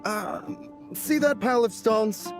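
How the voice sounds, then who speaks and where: An actor voicing an eager, wheedling songwriter uncle in a video game. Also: male voice, high-pitched, slow pace. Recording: music behind the voice.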